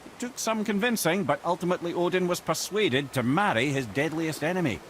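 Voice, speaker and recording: A man's voice: fairly high pitch, a little fast, a little hiss or hum, close to an everyday voice. An actor playing a witty old male advisor and storyteller in a video game, eloquent and wry in his wordy delivery. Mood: storytelling. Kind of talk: video game cutscene acting. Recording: loud music behind the voice.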